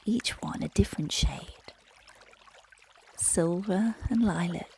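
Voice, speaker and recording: Woman, children's meditation storyteller, soothing and breathy, unhurried, creaky low edges, light noise and trickling water behind. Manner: gentle, soothing, hushed and breathy, often near whispering. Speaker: meditation guide and bedtime storyteller. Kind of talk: guided relaxation and bedtime story narration for children.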